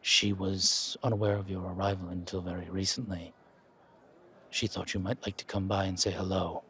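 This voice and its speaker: A man voicing a polite, subdued chauffeur in an audio roleplay, speaking quietly and formally with halting pauses. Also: deep voice, flat delivery, pitched below the performer's own voice. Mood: polite, reserved.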